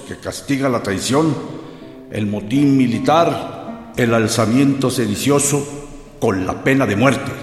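A long, echoing reverb carries a male actor as a stern revolutionary chief. His delivery is solemn, formal and deliberate, at a middling pitch, with faint music underneath. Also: close to an everyday voice. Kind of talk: solemn reading in radio drama.

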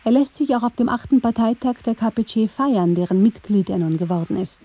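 A woman reading scripted documentary narration in a measured, factual storytelling manner. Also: on the high side, mellow, slightly rough. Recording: slightly dull, band-limited sound.

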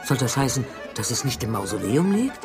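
A woman's voice: extremely low pitch, sing-song, a little fast, over music. An actress in a radio drama playing a wary young woman agent who questions and defies. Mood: incredulous.

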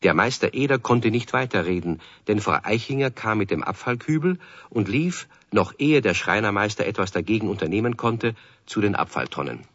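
A man with a husky voice narrating a children's radio play as a scripted story. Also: on the low side, lively intonation, brisk, fairly bright tone, no room sound. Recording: dry, muffled 1970s radio recording.

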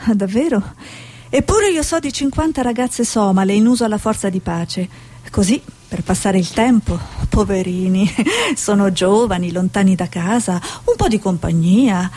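A woman's voice: medium pitch, moderate pace, mellow, close to an everyday voice. An actress playing a determined, wry woman TV war reporter in a radio drama, probing and self-assured. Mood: ironic, probing.

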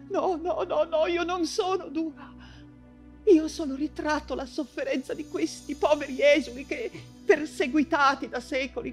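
An actress playing an anguished, ailing woman writer imprisoned in a camp, in a halting, fevered theatrical monologue. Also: high voice, close to an everyday voice. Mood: pained, defensive. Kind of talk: dramatic stage monologue in Italian theatre.

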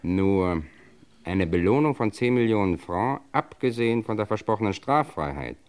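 Guy playing cold gangster boss in old radio crime drama, smooth talker, bossy, pitch swings a lot. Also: expressive, normal speed, darkish tone, lightly acted. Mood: quietly menacing. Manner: suave, controlled, domineering. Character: cold, menacing gangster boss, a connoisseur of food and wine. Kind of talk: German crime radio play acting of the 1950s to 80s.

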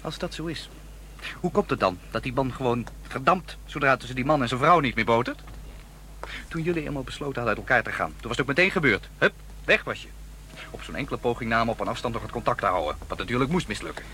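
Quick, defiant young student. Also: male voice, medium pitch, lively intonation, sharp tone, a little hiss or hum. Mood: cynical, accusing. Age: young adult. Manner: blunt, dismissive, sardonic. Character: defiant, cynical young student activist, estranged from his father. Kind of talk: Dutch radio play acting.

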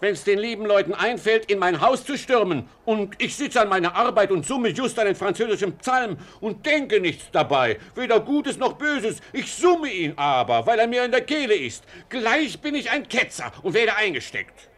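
A high-pitched male voice plays a cautious burgher in an old radio drama. He complains theatrically, with wide, animated swings in intonation, always fretting and wary. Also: moderate pace, hardly any pauses. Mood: worried, complaining. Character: cautious, grumbling Flemish townsman worried about the Inquisition. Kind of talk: 1940s German radio play acting. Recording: vintage 1949 radio drama recording.

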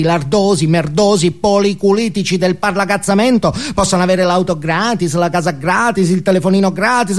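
An actor playing a bitter, foul-mouthed male drug addict, ranting crudely in a theatrical comic monologue. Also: high voice. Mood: sullen, bitter, then furious, mocking. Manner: ranting, crude, swinging from mutter to shriek. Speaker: stage actor performing monologues.

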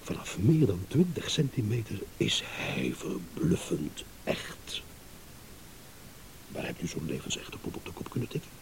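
A shrewd, self-satisfied husband and driver in a radio drama, building his accusation with theatrical pauses. His mellow voice swings widely in pitch, ironic and deliberate, over audible tape hiss. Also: huge pitch swings, creaky, exaggerated voice, poor audio. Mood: mocking. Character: married motorist delivering a shrewd, self-satisfied accusing monologue. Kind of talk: Dutch radio play monologue. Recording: hissy old radio play recording.

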